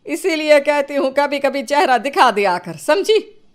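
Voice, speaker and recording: A woman's voice: sits high, big pitch swings, unhurried, a little hiss or hum. A woman playing an exasperated, dramatic middle-aged mother-in-law who nags and scolds in an acted moral story. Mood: surprised scolding. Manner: nagging, theatrical.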